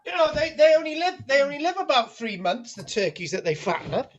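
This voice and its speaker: A woman's voice: high voice, very animated, brisk, highs cut off, a little hiss or hum. A woman hosting a casual group chat. She teases the others and often restarts her sentences. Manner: stammering restarts, teasing, chatty.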